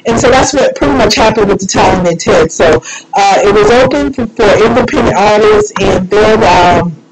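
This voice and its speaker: A woman's voice: medium pitch, moderate pace, loud music behind the voice, low-quality recording. Chatty, rambling woman radio host. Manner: chatty, rambling, playful, self-deprecating. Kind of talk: informal live radio show chat.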